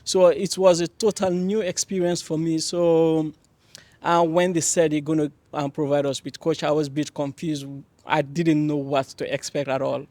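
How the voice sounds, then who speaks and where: A young man from Mali on a panel, telling his story with many pauses and restarts. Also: fairly high pitch, little pitch movement, no room sound. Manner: hesitant, many fillers and restarts, warm laughs. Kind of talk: panel discussion, personal account.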